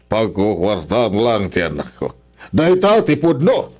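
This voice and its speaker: A man's voice: fairly low pitch, huge pitch swings, unhurried, a little mellow, full character voice. A man playing a stern, scornful, wealthy older father-in-law in an Ilocano radio drama, scolding haughtily with an Ilocano accent. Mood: angry, accusing.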